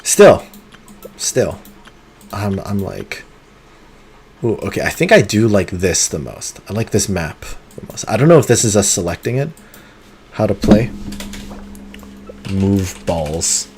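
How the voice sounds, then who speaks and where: A man streaming a game live, talking through it in an excitable, competitive way, with sudden gasps and pleading. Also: on the low side, measured pace, pauses often, a little hiss or hum. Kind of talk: live gameplay commentary.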